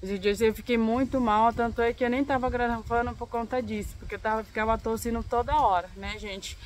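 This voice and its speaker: A young woman in her twenties vlogging to the camera outdoors in her backyard. She is hoarse and congested with a cold, and talks softly and unhurriedly, pausing often and adding tag questions. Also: fairly high pitch, steady tone, a little hiss or hum. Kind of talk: casual talk to camera. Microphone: phone or camera close up.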